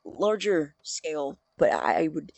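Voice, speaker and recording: An actor playing a nervous, defensive male college student scientist in an audio drama, with a halting, earnest delivery and a slightly husky, breathy voice. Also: mid-range voice, wildly swooping pitch, darkish tone, no echo, heavily acted. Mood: hesitant.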